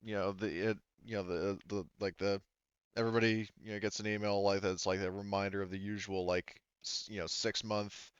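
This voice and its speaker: A man's voice: on the low side, flat delivery, fairly quick, smooth, dry recording, lo-fi sound. A man running a tabletop game session as game master, talking casually in a rambling, hesitant way. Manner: rambling, hesitant, often repeats "you know" and "like".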